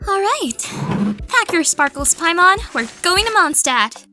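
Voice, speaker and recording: A woman's voice: extremely high pitch, sing-song, slow, pushed and bright, soft background music, pitched above the performer's own voice, full character voice. A woman voicing a breezy, light-hearted young adventurer in an audio roleplay. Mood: cheerful, decisive.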